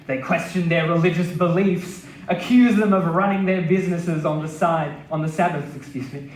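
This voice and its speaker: Higher-pitched man reading in an echoey room. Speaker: reader at a literary stage event. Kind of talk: dramatic reading of a scripted memoir. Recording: roomy, echoing live venue.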